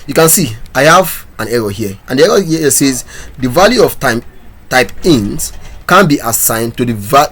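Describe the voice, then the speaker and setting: A man's voice: mid-range voice, a bit bright, breathy edge. A man teaching a coding lesson, talking through his code step by step and asking viewers questions. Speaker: programming instructor. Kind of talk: screen-recorded coding tutorial.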